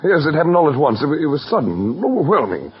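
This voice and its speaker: Guilt-ridden suitor: very husky, emotionally swinging pitch, halting and earnest, 1940s radio melodrama. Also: male voice, big pitch swings, heavily acted. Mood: guilty, halting. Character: guilt-ridden, hesitant suitor breaking off an engagement. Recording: muffled vintage radio recording, faint music.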